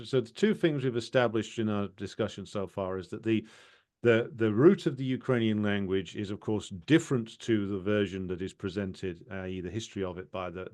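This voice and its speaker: A man with a fairly low voice hosts a talk on history. He speaks conversationally, stopping and restarting his sentences, with many fillers. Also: darkish tone. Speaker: interviewer. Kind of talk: casual political discussion.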